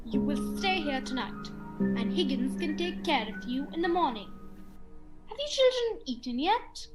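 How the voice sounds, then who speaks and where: A woman's voice: sits high, sing-song, measured pace, loud music behind the voice. A woman playing a grand, ominously hospitable countess in a student radio play, stately and theatrical. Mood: gracious, commanding.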